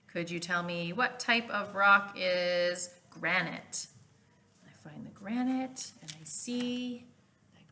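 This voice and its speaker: A woman reading a children's storybook aloud as a teacher, with clear emphasis, explanatory asides and questions to listeners. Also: medium pitch, bright, forceful tone.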